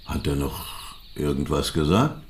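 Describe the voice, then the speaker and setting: An actor playing a terse investigator questioning a witness in a radio drama, with speech. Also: male voice, very deep voice, lively intonation, measured pace, echoey room. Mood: probing, measured. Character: probing male investigator taking a witness statement.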